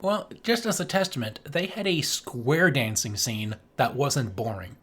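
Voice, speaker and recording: A man's voice: mid-range voice, animated, a little slow, pushed and bright, slightly rough. A man co-hosting a podcast, chatting casually about film in a rambling, jokey, sweary way. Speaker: podcast co-host.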